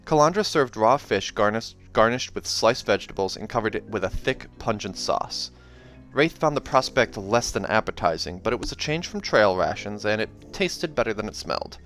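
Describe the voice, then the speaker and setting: A man reading a fantasy novel aloud as an expressive amateur narrator, with casual asides. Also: lowish voice, moderate pace, hardly any pauses, soft background music. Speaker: reader of a fantasy novel, reacting as they go.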